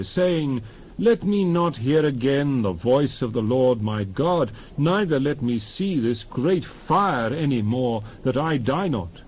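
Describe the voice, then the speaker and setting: A man giving a dramatized scripture reading as narrator, solemn and declamatory, in measured phrases. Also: mid-range voice, darkish tone, slightly rough, low-quality recording. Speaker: Bible narrator.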